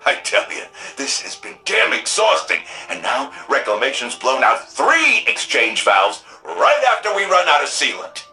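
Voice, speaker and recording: A man's voice: medium pitch, huge pitch swings, unhurried, hardly any pauses, bright, forceful tone, soft background music, exaggerated voice. An actor playing a practical, hard-pressed city repair crew chief in a sci-fi game, blunt and urgent, with a folksy working man's way of talking. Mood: harried. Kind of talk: video game quest dialogue.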